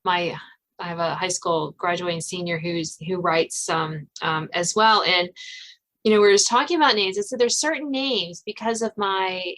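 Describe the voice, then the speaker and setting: A woman's voice: on the low side, moderate pace, fairly bright tone, rough recording. A middle-aged woman hosting a podcast, talking casually and conversationally with guests. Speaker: podcast host.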